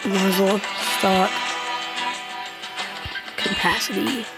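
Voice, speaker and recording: A stuffed-up woman talks through a hunting video game at an unhurried, drawn-out pace, full of ums and sheepish little groans at her own misses. Loud game music plays under her voice the whole time. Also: mid-range voice, fairly even intonation, low-quality recording. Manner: hesitant, chatty, frequent ums and self-deprecating groans. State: stuffed-up nose. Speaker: gaming channel host. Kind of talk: casual let's play commentary.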